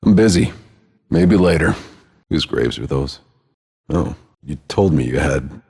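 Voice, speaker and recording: An actor playing a weary, cynical, foul-mouthed sci-fi soldier for a video game, with a gruff, sardonic, laconic delivery and a gravelly, husky voice. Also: male voice, very deep voice, animated, a little slow, darkish tone, long reverb tail, heavily acted. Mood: weary, guarded.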